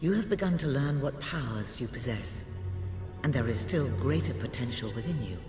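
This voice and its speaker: An actor playing a grave, commanding mystic guide in a video game, speaking in a measured, portentous manner. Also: male voice, medium pitch, lively intonation, natural voice. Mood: solemn, urging. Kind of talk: dramatic video game cutscene acting. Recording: echoey long reverb, music behind.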